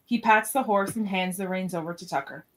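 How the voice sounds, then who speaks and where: A woman narrates an amateur radio drama from a script. Her voice sits at an average pitch for a woman as she reads the scene directions. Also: fairly quick. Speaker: narrator of a podcast radio play.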